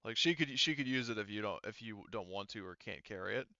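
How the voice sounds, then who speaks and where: A man running a tabletop game as game master, explaining rules casually in a halting, rambling way with self-corrections. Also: on the low side, expressive, bright, forceful tone, no room sound, muffled recording.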